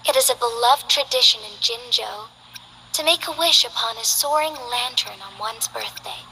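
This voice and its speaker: A woman voicing a formal, earnest young female city magistrate in game character voice lines, speaking politely and with measured care. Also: a little high, pushed and bright, a little hiss or hum, low-quality recording. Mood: gracious.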